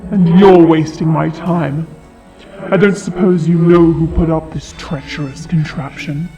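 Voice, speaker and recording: Guy doing a snooty impatient dragon, talks slow and mocking, fantasy audio play w loud music behind. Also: on the high side, steady tone, voice pushed up, low-quality recording. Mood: impatient threat. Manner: condescending, slow and deliberate taunting. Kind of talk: fantasy audio drama acting.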